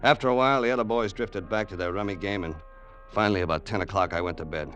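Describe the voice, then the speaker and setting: An actor playing a loyal, wary gangster's sidekick in an old radio crime drama, colloquial and hardboiled. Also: male voice, low voice, quick pace, a bit bright, highs cut off, soft background music. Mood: matter-of-fact. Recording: noisy old radio recording.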